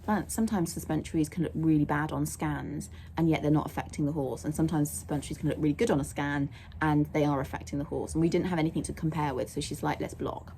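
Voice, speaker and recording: A young woman with a croaky voice, chatting casually in a rambling way as she vlogs about her horse. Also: sits low, fairly even intonation, fast talker, warm tone, a little hiss or hum. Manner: chatty and rambling, laughs often. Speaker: vlogger, horse owner. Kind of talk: casual vlog chat. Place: outdoors at a stable yard, then in a car.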